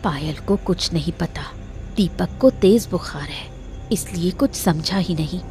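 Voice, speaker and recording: Woman acting a scheming wife, lowish pitch, commanding manner, cartoon drama, clear background music. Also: a little slow, mellow. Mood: tense, conspiratorial. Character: scheming, ruthless married woman plotting a murder. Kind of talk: Hindi cartoon story dialogue.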